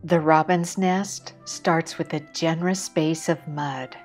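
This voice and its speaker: Slow, low-voiced woman narrating calmly. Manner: calm, measured, reflective reading. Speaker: artist narrating her drawing film. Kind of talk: scripted documentary narration. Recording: voice-over with loud background music.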